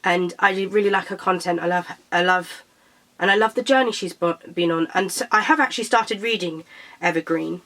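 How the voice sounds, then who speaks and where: A young woman from Britain, a little croaky after being sick, chatting casually to camera about books and tripping over her words. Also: fairly low pitch, brisk, a bit bright. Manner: chatty, self-correcting, stumbles over titles. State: slightly croaky from illness. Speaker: book vlogger.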